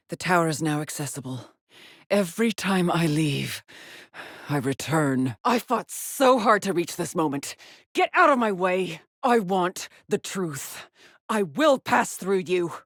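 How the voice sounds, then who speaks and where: A haunted lone female space explorer from a sci-fi video game, speaks in a low, husky voice. She is measured and solemn, weary yet determined, and often breathy, as if logging her findings alone. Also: dry recording, clean studio sound. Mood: pleading, defiant. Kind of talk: dramatic game voice lines, short log entries.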